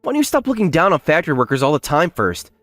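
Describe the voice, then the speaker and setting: A man performs an earnest young working man in a manga-style drama, speaking. His delivery is very expressive and emphatic, courteous yet indignant when wronged. The sound is close and dry, with soft music underneath. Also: on the high side, fast talker, no echo. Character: earnest young male factory worker, polite but indignant when wronged. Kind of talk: anime-style manga dub acting with first-person narration.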